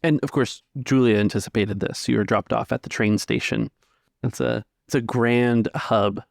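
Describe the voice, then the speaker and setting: A man's voice: medium pitch, fairly even intonation, normal speed, creaky, no echo, clean studio sound. A man narrating a tabletop roleplaying game as its game master.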